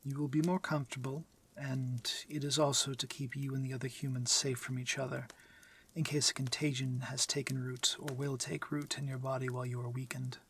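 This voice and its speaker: A man performs a male vampire lord for an intimate ASMR roleplay. His speech is formal and measured, courteous but used to being obeyed. The soft, protective voice often sinks toward a whisper, over light background noise. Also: medium pitch, little pitch movement, close to an everyday voice. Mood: tender, insistent.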